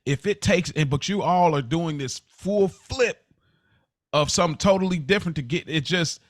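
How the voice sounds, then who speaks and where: Earnest man in halting podcast chat. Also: a little high, dry recording, clean studio sound. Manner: halting, repeats phrases for emphasis, earnest. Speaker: podcast co-host. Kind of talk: casual conversational podcast chat.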